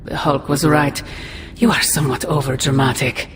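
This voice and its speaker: A woman playing an ancient demonic sorceress mother in a game cutscene, menacing and mocking, with a grandiose, deliberate delivery. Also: sits low, little pitch movement, noisy background, close to an everyday voice. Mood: ominous. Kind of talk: dramatic video game cutscene acting. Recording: long cavernous reverb under loud music.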